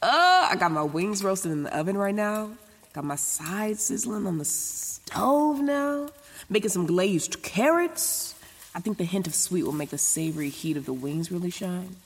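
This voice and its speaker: A woman's voice: on the low side, long reverb tail. An actress playing an anxious, chatty young woman who rambles casually and swears in a naturalistic audio drama monologue. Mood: playful, proud. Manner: rambling, casual, sweary, stop-start self-talk. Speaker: lead actor in an audio drama.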